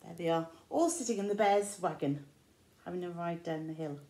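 A woman's voice: fairly low pitch, moderate pace, warm tone. A middle-aged woman with an English accent reads a picture book aloud to young children, expressively, explaining words simply as a teacher does. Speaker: infant school teacher. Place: indoor room with large windows. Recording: roomy echo.

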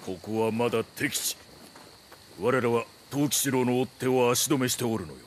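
An actor playing a composed, dutiful ninja retainer in a period video game, speaking in formal, measured samurai phrasing. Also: male voice, mid-range voice, a little hiss or hum, lightly acted. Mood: guarded, formal. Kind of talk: scripted Japanese period game drama. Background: music.

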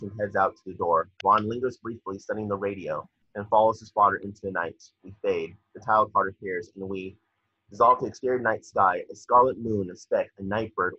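A man's voice: fairly low pitch, pushed and bright, slightly rough, dry recording. A young man reading screenplay stage directions as scripted narration, on dull video-call audio. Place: indoor room at home, on a video call.

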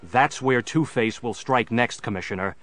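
An actor playing a stoic, determined masked hero, grave and deliberate, in an audio drama. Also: male voice, medium pitch, a bit bright, lightly acted. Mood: resolute.

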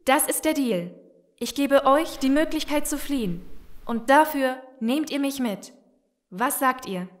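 A desperate young woman in a zombie radio play. Her voice is high, and she speaks with earnest urgency as someone who betrayed others and now wants out. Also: expressive, measured pace. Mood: determined. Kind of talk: German audio drama acting.

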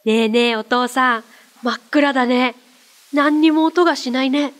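A cheerful little boy in a radio drama, played by a woman. The voice is very high, eager and childlike, with curious, teasing turns. A hissy noise sits behind it. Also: moderate pace, lightly acted.

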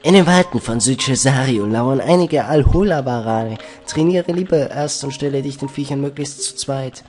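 Dude reading a brisk village girl, normal pitch, quiet game music. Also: lively intonation, natural voice. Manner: plain reading of game text. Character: young village woman shopkeeper, brisk. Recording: faint game music behind.

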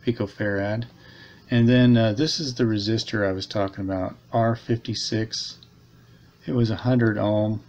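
Guy with a lowish voice rambling thru a repair on his handheld cam, bit of background noise. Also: lo-fi sound. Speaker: hobbyist radio repairer presenting a video. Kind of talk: casual unscripted repair walkthrough. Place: small indoor room at a desk. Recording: steady background noise, handheld camera recording.

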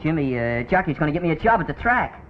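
A cocky teenage street tough from New York, rattling his lines off quickly and a little high. It sounds like a muffled 1940s film, with faint noise behind. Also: big pitch swings. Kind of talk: 1940s film drama acting.

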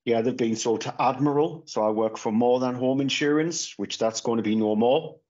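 Casual podcast co-host, man in his thirties, mid-pitched, rambling delivery, slightly dull phone audio. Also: moderate pace, darkish tone. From Northeast England. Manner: rambling, full of restarts and fillers. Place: small room at home, indoors. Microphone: phone camera on a video call.

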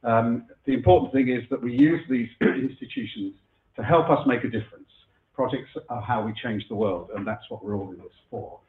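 A middle-aged man with a husky voice from a cold, giving a chatty conference keynote in a lecture room, with many fillers and restarts. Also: medium pitch, a little fast, a little hiss or hum. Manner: chatty, self-deprecating, frequent fillers and restarts. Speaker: keynote speaker. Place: lecture room before a live audience. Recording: echoey room over a phone-like line.

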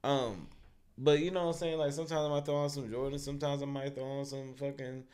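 A man holds forth on a podcast, loose and profane, rambling with plenty of "you know what I'm saying." His voice sits at an ordinary middle pitch, and he talks into a studio podcast mic. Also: flat delivery, quick pace, a little mellow, clear, resonant tone. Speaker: podcast co-host. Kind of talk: casual podcast banter.

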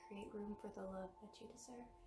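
A woman leading a guided meditation in gentle, measured short phrases. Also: medium pitch, fairly even intonation. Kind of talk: guided meditation, slow and soothing. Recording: roomy, distant mic under loud music. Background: loud meditation music with singing bowls.

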